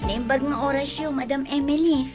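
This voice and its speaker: A woman's voice: sits high, steady tone, moderate pace, music in the background, close to an everyday voice. A woman playing a friendly, persuasive young bar waitress in a scripted audio drama. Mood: polite. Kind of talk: scripted radio-style drama.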